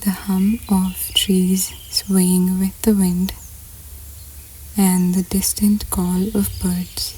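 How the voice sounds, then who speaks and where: A woman leading a scripted guided meditation in a soft, breathy voice that often nears a whisper, unhurried and measured with pauses between short phrases. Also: mid-range voice, flat delivery, slight background noise.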